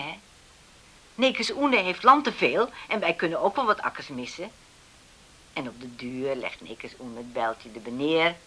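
Radio play role: anxious middle-aged farm widow, lowish female voice with a bright, forceful edge, earnest and confiding. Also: exaggerated voice. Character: worried widowed farm mother dreaming of settled married sons. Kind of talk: Dutch radio drama acting.

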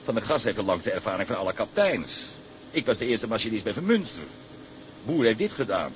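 Casting: tugboat chief engineer, animated and emphatic, proud, radio drama, faint engine noise. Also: male voice, lowish voice, brisk. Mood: proud, knowing.

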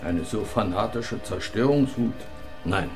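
An actor playing a sober police examiner at a crime scene in a radio crime drama. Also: male voice, fairly low pitch, expressive, a little slow, over music. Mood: grave.

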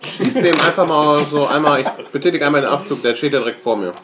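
A man's voice: fairly high pitch, fast. A man playing a tabletop role-playing game, chatting casually and thinking aloud in colloquial speech. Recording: noisy tabletop session recording.

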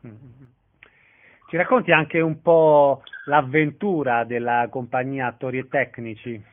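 A male interviewer speaks at a medium pitch in a radio interview style. His voice comes through thin and boxy, like a telephone line. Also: steady tone, unhurried. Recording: tinny phone-like narrow band.

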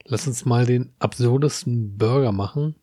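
A man's voice: mid-range voice, moderate pace, dark tone, husky, no echo. Chatty Berlin man, dry close sound. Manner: chatty, many "äh" and "ähm" fillers, laughs often. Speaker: podcast co-host. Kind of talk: casual chat.

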